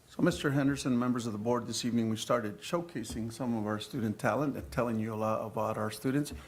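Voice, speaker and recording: A man's voice: medium pitch, fast, slight background noise. A man, a school district official, introducing a presentation at a board meeting. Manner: relaxed, plain, with fillers. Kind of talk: formal meeting remarks.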